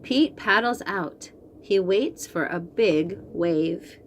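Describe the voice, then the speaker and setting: A woman reading a picture book aloud to young children, slow and expressive, then chatting about the books. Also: on the low side, slight background noise. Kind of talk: read-aloud storytime for young kids.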